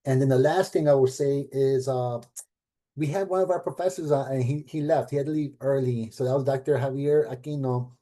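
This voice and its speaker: A middle-aged man speaking casually at an event as a program director, with lots of fillers and tag questions, and he gets animated when he quotes people. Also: medium pitch, a little fast. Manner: conversational, many fillers and rhetorical "right?"; animated when quoting others. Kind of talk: casual talk at an event panel.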